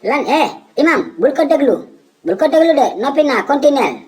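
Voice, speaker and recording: A man's voice: very high voice, expressive, normal speed, darkish tone, faint music behind, low-quality recording. A man with a Senegalese accent voicing a stubborn, mischievous husband in a comedy cartoon, argumentative and full of wheedling interjections. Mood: indignant. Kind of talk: comedic cartoon dubbing in Wolof.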